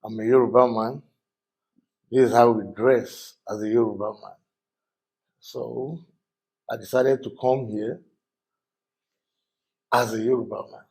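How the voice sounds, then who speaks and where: An older man from Nigeria with a Nigerian accent, a podcast guest talking casually in a studio, halting and full of fillers. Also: mid-range voice, fairly even intonation, vocal fry, darkish tone, breathy edge. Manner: halting, with frequent fillers and restarts. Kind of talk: casual interview talk.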